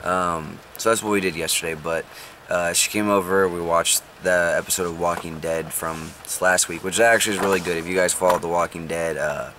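A young man with a low, deep voice talks casually into a close camera from inside a parked van. He rambles, filling his sentences with ums and likes. Faint rain and vehicle noise sit behind him. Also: steady tone, fairly quick, a bit bright. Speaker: vlogger.

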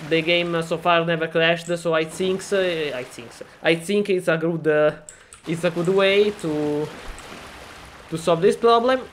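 A man's voice: on the high side, unhurried, a little hiss or hum. A man from Italy speaking English with an Italian accent, talking through a game tutorial in a hesitant, stop-start way with lots of fillers. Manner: hesitant, many fillers and restarts, self-deprecating. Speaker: gaming tutorial maker. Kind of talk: casual screen-recorded tutorial.

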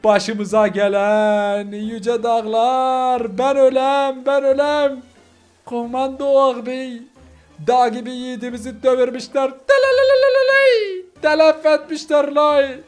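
Cartoonish high-voiced clan dog, acted by a man, rural Turkish accent, melodramatic wailer, music behind. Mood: mock grief. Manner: melodramatic lamenting with drawn-out cries. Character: dialect-speaking clan dogs wailing melodramatically over their fallen leader.

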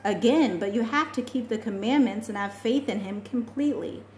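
A woman's voice: a little high, moderate pace, darkish tone, lightly acted. Woman reading as kindly, mysterious storybook mentor. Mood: earnest. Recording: echoey room with faint noise.

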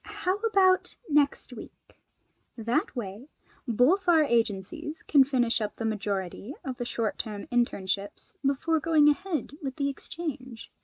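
A woman's voice: fairly high pitch, expressive, a little slow, no room sound. Woman voicing a composed, thoughtful heroine. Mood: businesslike. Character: composed, polite, thoughtful young woman hero, agency head.

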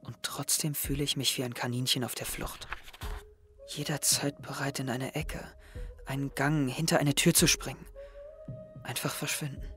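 Young male actor, teenage boy, self-doubting, introspective, mid-pitched, audio drama, light music underneath. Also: level tone, normal speed, fairly bright tone, natural voice. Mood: hushed, melancholy. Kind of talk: audio drama dialogue and inner monologue.

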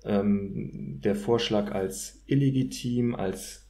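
A man speaking as an expert guest, analysing in deliberate, academic phrasing. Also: lowish voice, steady tone, mellow. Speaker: guest expert, political scientist. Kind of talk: analytical discussion.

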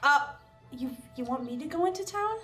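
A woman's voice: high-pitched, animated, brisk, a bit bright, soft background music. A young woman playing a tabletop game, improvising hesitant, timid dialogue in character. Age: young adult. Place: indoor studio set.

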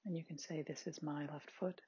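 A meditation guide with a husky, breathy, androgynous voice, giving gentle, unhurried body scan instructions in short spaced phrases. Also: mid-range voice, fairly even intonation, dry recording, lo-fi sound. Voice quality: very husky, breathy, at times near-whispered.

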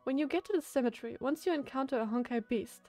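Woman as a high-voiced, polite warrior woman. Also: fast, mellow, soft background music, natural voice. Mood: composed.

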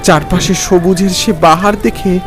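A man narrating a scripted first-person story in a dramatic, expressive way. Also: high-pitched, unhurried, over music.

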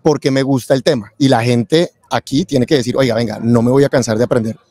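A man's voice: mid-range voice, fairly quick, warm tone, no echo. A man, telling his own story casually as an interview guest. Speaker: interview guest, tax lawyer and accountant. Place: convention event booth, indoors. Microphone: close boom-arm microphone with headset.

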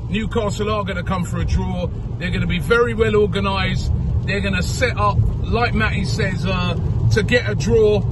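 A man's upbeat voice from behind the wheel, set high for a man and heard from a little away from the mic. Car and street noise run clearly under him. He sounds hopeful and casual. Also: far from the mic. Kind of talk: casual vlog to camera. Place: car, driver's seat.

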